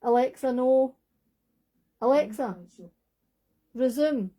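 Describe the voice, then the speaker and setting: Chatty Scottish woman, mellow voice. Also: sits high, slow pace. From Scotland. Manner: chatty, rambling, many fillers and self-corrections, laughs easily. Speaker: livestream host. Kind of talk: casual livestream chat reading viewer comments. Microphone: phone.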